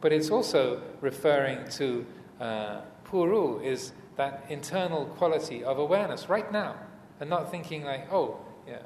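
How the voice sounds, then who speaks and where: A man gives a reflective dharma talk in a big, reverberant room, with some noise behind him. He speaks in measured short phrases and pauses often as he teaches. The echo of the hall trails his words. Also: fairly high pitch, animated, darkish tone. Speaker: Buddhist monk teacher giving a dharma talk.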